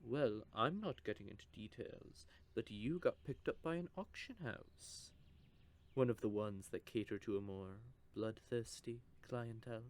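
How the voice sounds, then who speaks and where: A man's voice: fairly low pitch, a little slow. A man voicing a teasing, possessive male vampire in an audio roleplay, with a smug, drawling manner. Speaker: voice actor.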